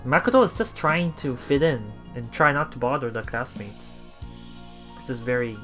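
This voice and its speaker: A man's voice: mid-range voice, pushed and bright. Man reading a visual novel aloud over music. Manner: halting, chuckles through his reading. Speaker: let's play streamer. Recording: voice over game background music.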